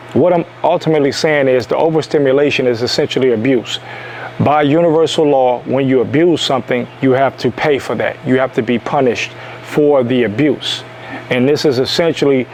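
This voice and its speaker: A man from Detroit lectures his viewers in a self-help monologue, repeating key lines to drive them home. His voice sits at a middle pitch. A faint hum of background noise runs under it. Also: darkish tone, low-quality recording. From Detroit, USA. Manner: emphatic, repeats lines for stress. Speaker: self-help video host. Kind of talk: preachy motivational monologue.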